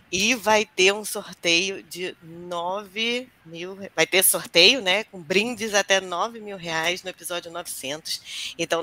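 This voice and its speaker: Woman host with a cold, bright and chatty, over a video call. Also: lowish voice. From Rio de Janeiro, Brazil. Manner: chatty, welcoming, talks to the audience directly. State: has a cold. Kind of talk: casual live show hosting.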